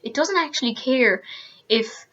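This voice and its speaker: A woman's voice: high-pitched, animated, unhurried, fairly bright tone. Teenage Irish girl ranting, lo-fi. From Ireland. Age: teens. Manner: earnest, frequent fillers and restarts. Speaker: student vlogger. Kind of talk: casual rant to camera.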